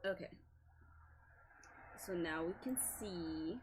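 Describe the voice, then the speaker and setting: A woman's voice: on the low side, slow pace. A woman streaming live with a scratchy voice, chatting casually, apologizing often, swearing and laughing a lot.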